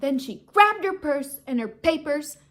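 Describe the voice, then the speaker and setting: A woman's voice: high voice, expressive, a little slow, a bit bright. A woman teacher reading a children's storybook aloud in a theatrical, emphatic way that invites young listeners in. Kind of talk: expressive children's storybook narration.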